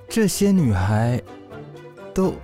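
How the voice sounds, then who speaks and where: A male actor playing a gentle, easily flustered widowed father in a radio drama, hesitant and soft-hearted, with a Taiwanese accent. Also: medium pitch, big pitch swings, slow pace, faint music behind. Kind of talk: Mandarin radio drama acting.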